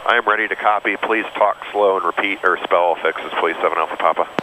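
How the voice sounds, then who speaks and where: A man's voice: sits low, fast. A man speaking as a pilot in clipped aviation radio calls over a cockpit intercom. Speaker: private pilot. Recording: noisy aircraft cockpit radio audio. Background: airplane engine drone.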